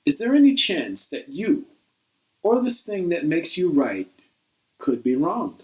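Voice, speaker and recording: A man playing a patient, reasoning skeptic in a satirical dialogue, putting pointed questions in a measured, articulate way. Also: a little high, wildly swooping pitch, darkish tone.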